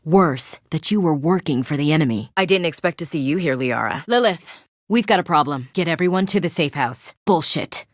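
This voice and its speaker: Blunt young marine woman, low-pitched, loyal, direct, dry studio sound, game character. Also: expressive, moderate pace, no room sound. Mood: guarded. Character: tough, loyal young female marine soldier. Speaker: soldier squadmate. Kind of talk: video game voice acting. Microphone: close studio mic.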